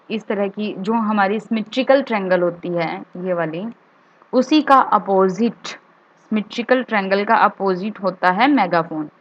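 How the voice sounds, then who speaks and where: A woman's voice: medium pitch, muffled recording. A woman with an Indian accent giving a step-by-step tutorial as an instructor, repeating key points for clarity and mixing in English terms. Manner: mixes English terms and phrases into speech. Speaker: trading instructor.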